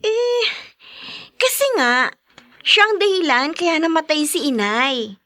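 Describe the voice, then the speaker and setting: A woman's voice: sits high, lively intonation, slow pace, bright, forceful tone, full character voice. An actress playing a flippant, snappy, defiant young mother in a Filipino audio drama. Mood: mocking. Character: brash, defiant young mother, uncaring sister. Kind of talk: Filipino podcast radio drama acting.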